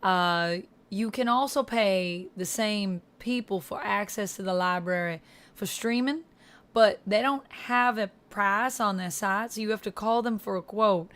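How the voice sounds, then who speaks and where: A woman's voice: mid-range voice, a little slow, fairly bright tone. A woman hosting a livestream, chatting casually with viewers in a put-on Georgia-style Southern US accent. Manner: chatty, addresses viewers by name. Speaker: livestream host.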